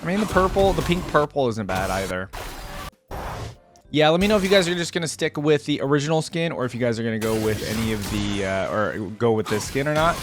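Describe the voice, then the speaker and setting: A man's voice: mid-range voice, lively intonation, brisk, over music. A man hosting a reaction video, talking in a casual, unscripted, rambling conversational way. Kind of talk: casual, unscripted reaction commentary to camera or screen.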